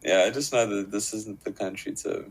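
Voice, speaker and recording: A man's voice: on the low side, fairly even intonation, moderate pace, slightly rough, low-quality recording. A man chatting in a laid-back, reflective way, often calling his friend bro. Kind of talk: casual chat.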